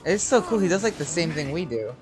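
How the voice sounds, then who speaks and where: A man's voice: fairly high pitch, big pitch swings, fast talker, over music. A man giving casual, blunt and irreverent reaction commentary while playing a game. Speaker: game streamer.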